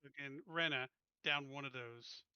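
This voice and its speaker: Very bright-voiced man, blunt game player. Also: mid-range voice, unhurried, clean tone, no echo, muffled recording. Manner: directive, blunt. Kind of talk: casual table talk.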